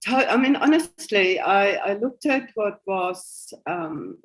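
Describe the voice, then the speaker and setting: A woman's voice: mid-range voice, animated, slow pace. A German-accented woman in her sixties, a guest telling her life story in casual conversation and often laughing along the way. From West Germany. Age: 60s. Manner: chatty and self-amused, laughing often between thoughts.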